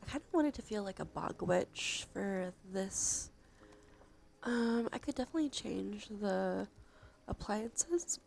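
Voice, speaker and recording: Creaky-voiced woman, cozy ASMR streamer. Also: mid-range voice, expressive, slow pace, slight background noise. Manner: soft-spoken, often dropping into a whisper. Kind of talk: cozy ASMR livestream chat.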